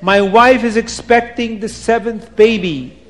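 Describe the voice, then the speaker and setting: A man's voice: sits high, a little slow, fairly bright tone, long reverb tail, voice pushed up. A man voicing a poor, hopeful father of six who muses wistfully. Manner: wistful, musing.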